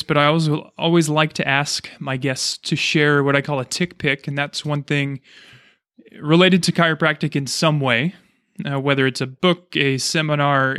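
A man's voice: fairly high pitch, a bit bright, no room sound, studio quality. A man hosting a casual interview, chatting and explaining things as he goes. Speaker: podcast host, chiropractor.

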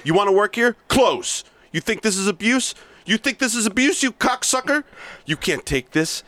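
A man's voice: high voice, expressive, normal speed, bright, forceful tone, dry recording, pitched above the performer's own voice. A man reading aloud as a brash, abusive male sales boss, berating his staff and swearing, at times stumbling over the script. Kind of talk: amateur reading of a scene.

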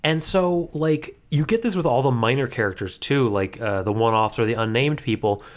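A man's voice: medium pitch, normal speed. A man co-hosting a podcast, chatting casually and jokingly about cartoons. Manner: joking, riffing with mock voices. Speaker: podcast co-host.

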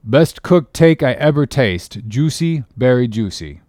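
A man's voice: mid-range voice, animated, darkish tone, no room sound, pitched above the performer's own voice, lightly acted. Grumbling, elderly male sea cook, played by a man reading a storybook, drawling testily in dialect, dry sound. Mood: sullen. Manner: slow, drawn-out, testy dialect speech. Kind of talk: audiobook character reading.

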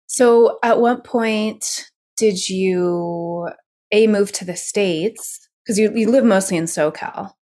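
A woman hosting an interview, asking questions casually and backchanneling with soft "mm-hmm"s. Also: medium pitch, slow pace. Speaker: podcast host.

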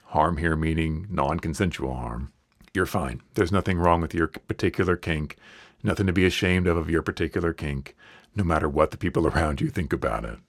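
A man hosting a solo podcast, talking in an earnest, reassuring and plainspoken way while hoarse from a cold and losing his voice. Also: a bass voice, a little fast, no room sound, clean studio sound. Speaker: podcast host.

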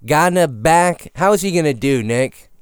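A young man co-hosting a podcast, drifting from one goofy bit to the next. His voice is smooth and resonant, with pitch that swings a lot. The sound is dry and close. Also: lively intonation, normal speed, no echo. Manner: goofy, rambling, jumps between bits. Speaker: podcast co-host. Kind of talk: casual joking chat.

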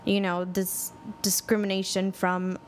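A woman hosting an interview, relaxed and conversational as she shares her own story. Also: mid-range voice, unhurried, a little hiss or hum. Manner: relaxed, conversational, sharing personal stories. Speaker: host.

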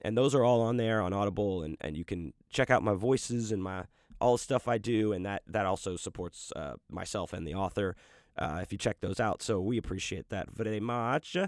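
A man's voice: lowish voice, normal speed, no echo, clean studio sound. A man hosting a podcast in loose, rambling casual chat, profane and full of restarts, talking about his audiobook narration work.

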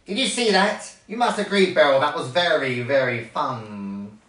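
Mean female wasp for a storybook, gleeful bully, very animated delivery, voiced by a man. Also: fairly high pitch, normal speed, reverberant, distant mic. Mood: gloating. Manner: mocking, gloating.

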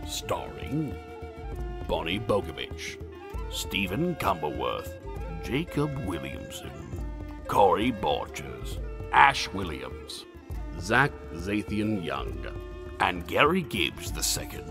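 A man's voice: low voice, big pitch swings, slow pace. A man narrating scripted credits for a fantasy audio play in a formal, theatrical announcer manner. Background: music.

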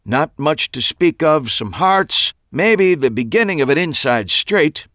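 A man's voice: a little high, expressive, bright, forceful tone, dry recording, clean studio sound, voice pushed up. A man doing a theatrical stage magician. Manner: dramatic, drawn-out announcing.

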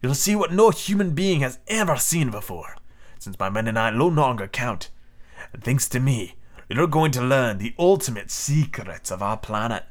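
A man's voice: mid-range voice, animated, moderate pace, lightly acted. A man reading aloud, voicing an aloof middle-aged male ship commander who speaks formally and coldly. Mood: grand.

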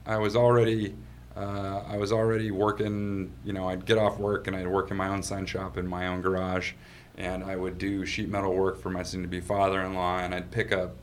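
A man's voice: sits low, completely flat delivery, moderate pace, slight background noise. A man from Washington state, a company founder being interviewed in his office, telling his story in a laid-back way and laughing easily. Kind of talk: casual storytelling.

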